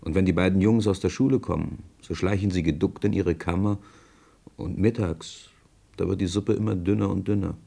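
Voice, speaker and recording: Wry friendly storyteller in a radio drama, creaky lowish voice, musing and colloquial. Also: male voice, steady tone, normal speed, mellow. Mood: sorrowful. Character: sympathetic, wry male narrator commenting like an old friend. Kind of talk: radio play narration.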